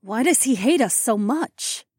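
A woman voicing a proud, sharp-tongued female wolf-pack leader in an audiobook, with snappy, assertive delivery. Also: on the high side, animated, measured pace, slightly rough, no room sound, clean studio sound. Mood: quietly worried. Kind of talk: audiobook character dialogue.

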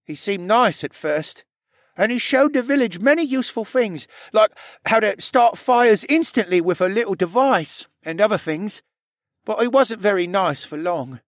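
A man voicing an anxious, tearful young stable boy in an audiobook, with a rough, halting, stammering and pleading delivery. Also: sits high, lively intonation, no echo, studio quality, pitched above the performer's own voice. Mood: hesitant, confiding. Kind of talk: audiobook character acting.

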